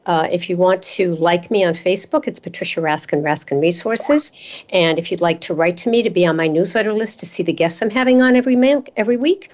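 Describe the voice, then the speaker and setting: Lady radio host interviewing, kinda low voice, dull sound, lots of mm-hmms. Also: a little fast, a little mellow.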